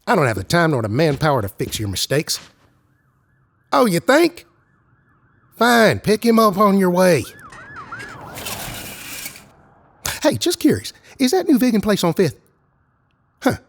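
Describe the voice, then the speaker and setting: A man's voice: high voice, very animated, normal speed, long pauses, heavily acted. A man playing a brusque, impatient, weary special agent in an audio drama, curt and skeptical. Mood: exasperated.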